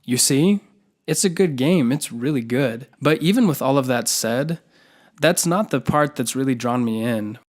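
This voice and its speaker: A young man co-hosting a gaming video, talking casually in a goofy, excitable, jokey way. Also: medium pitch, normal speed. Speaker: co-host of a gaming video channel.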